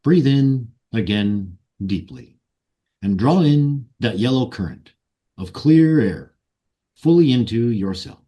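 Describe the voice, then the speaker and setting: A man leading a guided meditation and ceremonial invocation in solemn, deliberate short phrases. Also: mid-range voice, lively intonation, dark tone. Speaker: meditation guide and ritual leader.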